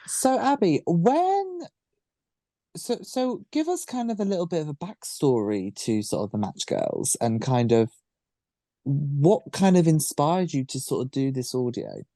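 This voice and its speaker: A woman hosting a casual group interview, asking questions in a rambling, halting way. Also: mid-range voice, animated, dark tone, no room sound, clean studio sound. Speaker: podcast host.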